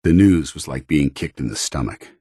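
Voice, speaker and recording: A man playing a stern, earnest soldier who is secretly synthetic, speaking in a formal, measured military manner for a video game. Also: very deep voice, lively intonation, warm tone, slightly rough, no room sound, clean studio sound, exaggerated voice. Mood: somber, earnest. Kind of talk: video game companion dialogue, dramatic acting.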